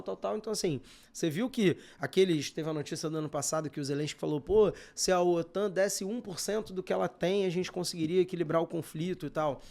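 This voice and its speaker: Brazilian guy co-hosting a news podcast, slightly high voice, casual and chatty. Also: creaky. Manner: chatty, informal, many fillers. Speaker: podcast co-host. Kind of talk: casual news-discussion chat.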